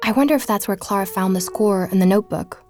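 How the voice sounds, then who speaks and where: Actress as earnest female investigative journalist, mid-pitched, curious and driven, narrating an audio drama podcast with music underneath. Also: darkish tone, natural voice. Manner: inquisitive, measured storytelling with rhetorical questions.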